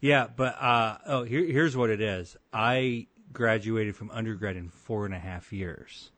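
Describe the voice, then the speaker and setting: A man's voice: fairly low pitch, a little slow, a bit bright. A man in his thirties hosting a podcast, with casual chat and dry, teasing humor. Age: 30s. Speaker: podcast host.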